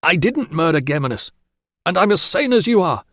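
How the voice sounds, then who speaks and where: A man's voice: a little high, sing-song, brisk, no echo, studio quality, pitched above the performer's own voice. A man doing a desperate chained prisoner. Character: desperate imprisoned male Roman soldier, insisting he is sane.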